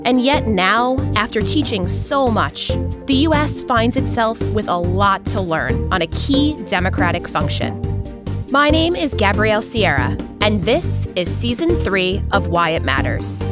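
A woman's voice: medium pitch, animated, a little slow. American woman podcast host over prominent music. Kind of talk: scripted narration. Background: music bed.

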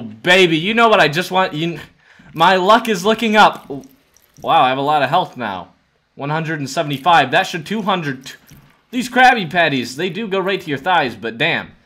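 A man in his twenties giving excitable, dramatic and jokey gameplay commentary as he hosts a gaming video. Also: a little high. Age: 20s. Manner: dramatic, jokey, talks to game characters.

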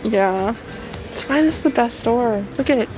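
A young adult woman, chatting casually and excitably as a vlogger out shopping. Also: on the high side, moderate pace, poor audio. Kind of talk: casual chat while shopping. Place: shops inside a mall. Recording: music mixed in behind.

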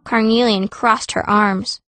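A woman reads a fantasy audiobook in a high voice. Her delivery is expressive and dramatic, telling the story in the first person. The sound is dry and close. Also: takes it slowly, dry recording. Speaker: audiobook narrator, playing the young heroine.

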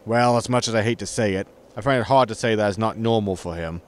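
A man's voice: fairly low pitch, quick pace, natural voice. A man playing an exasperated, sarcastic spaceship captain in a science fiction comedy audio drama. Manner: dry, sarcastic.

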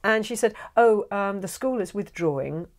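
A woman's voice: medium pitch, normal speed. A middle-aged woman with a lapel mic, chatting expressively as a guest in a small studio. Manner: expressive, animated, gesturing while talking. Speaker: guest, actor and audio director. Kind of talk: casual studio chat. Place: small studio room with sofa, indoors.

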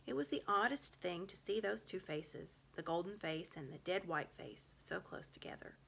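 A woman in her thirties reads a story aloud. Her voice is bright and slightly low, with expressive narration, recorded at home on a device in front of her. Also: moderate pace, creaky, slightly rough. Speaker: storybook reader. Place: small room at home.

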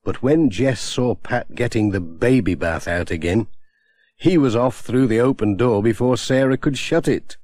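A man narrating a children's storybook in a gentle, expressive way on a close studio mic. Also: medium pitch, moderate pace. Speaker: audiobook narrator.

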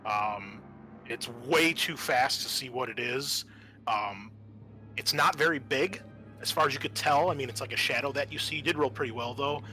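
A man narrating a tabletop roleplaying game as game master, in a halting, conversational way. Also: mid-range voice, pushed and bright, faint music behind, low-quality recording. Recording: faint background noise.

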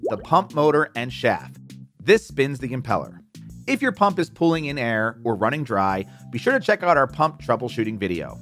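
A man giving scripted how-to narration in a clearly enunciated explainer voice. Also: mid-range voice, sing-song, normal speed. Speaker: instructional narrator. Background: soft background music.

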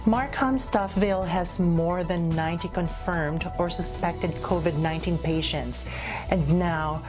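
A woman's voice: fairly low pitch, a little slow, music in the background. A woman news anchor reading and interviewing in a formal broadcast style.

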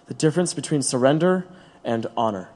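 An actor in an audio drama playing a proud, bitter labor radical, speaking earnestly and rhetorically. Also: male voice, medium pitch, animated, a little fast, darkish tone, natural voice. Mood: grave, resolute. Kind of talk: dramatic audio play acting. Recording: long hollow reverb.

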